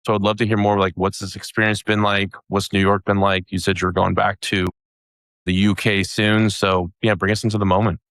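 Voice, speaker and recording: A man's voice: on the low side, little pitch movement, brisk, fairly bright tone, no room sound. A man hosting a casual remote interview, asking upbeat, rambling questions. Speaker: podcast host.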